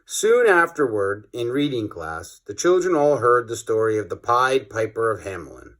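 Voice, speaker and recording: A man's voice: mid-range voice, very animated, normal speed, fairly bright tone. A man narrating a children's story expressively, like a teacher reading aloud to pupils.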